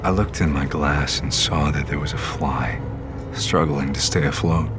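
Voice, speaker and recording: A tormented wanderer narrating his nightmare in an audio drama. His voice is extremely low, grave and brooding, set against loud music. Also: a little slow. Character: tormented, parched man recounting a nightmarish journey.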